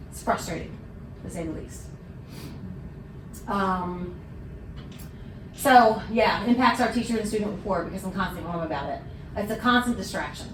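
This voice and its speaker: A woman's voice: medium pitch, normal speed, pauses often. A woman teacher giving earnest, frustrated remarks at a town hall. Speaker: teacher speaker. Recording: echoey room, distant mic, background noise.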